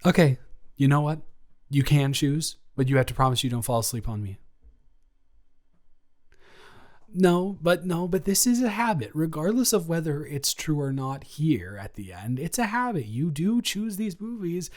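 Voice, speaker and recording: A man playing a flustered young best friend in love, halting and self-deprecating, in an improvised romantic audio roleplay. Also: fairly high pitch, very animated, a little mellow. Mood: playful teasing.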